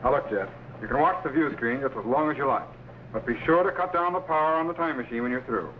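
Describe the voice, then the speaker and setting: An actor playing a brisk, authoritative scientist captain in a vintage science fiction serial. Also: male voice, medium pitch, lightly acted. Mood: businesslike. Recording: distant, tinny, faintly noisy old soundtrack.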